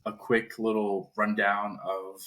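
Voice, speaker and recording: A man's voice: lowish voice, level tone, slow pace, a bit bright. A man hosting a podcast, joking and casual as he interviews a guest. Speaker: podcast host.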